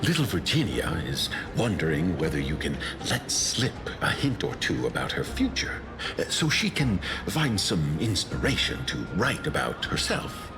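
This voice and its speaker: Theatrical, swooping, teasing gentleman scholar. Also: sits low, sing-song, moderate pace. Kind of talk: anime game voice acting. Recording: music behind the voice. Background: game music.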